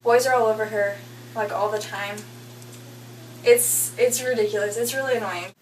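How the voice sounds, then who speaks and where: A woman's voice: medium pitch, steady tone, moderate pace. A woman in her twenties from Utah, chatting and teasing about her roommate with lots of fillers. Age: 20s. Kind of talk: casual chat to camera. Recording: echoey room, distant mic, faint hum.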